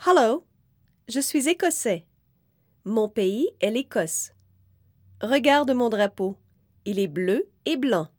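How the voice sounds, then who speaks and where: A woman reading a children's book aloud slowly and clearly, in careful, evenly spaced phrases. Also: a little high, expressive. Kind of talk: slow, clear read-aloud for young learners.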